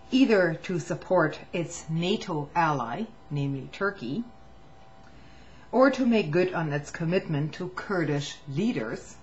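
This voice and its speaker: A woman reading a written political statement aloud in a measured, deliberate and formal manner. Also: lowish voice. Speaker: reader of a testimony. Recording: dull, noisy lo-fi recording.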